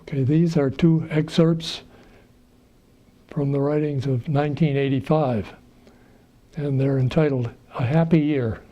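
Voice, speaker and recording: A man's voice: on the high side, fairly even intonation, a little slow, long pauses, mellow. A man reading rhyming verse aloud, on a slightly dull, muffled recording. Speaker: reader of poems.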